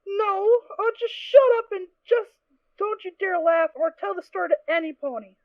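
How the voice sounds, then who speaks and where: A woman playing a tough, gruff unicorn mare guard in a fan audio drama, with a brusque manner. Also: extremely high pitch, normal speed, no echo, highs cut off. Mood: snappish. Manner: brusque, snappish.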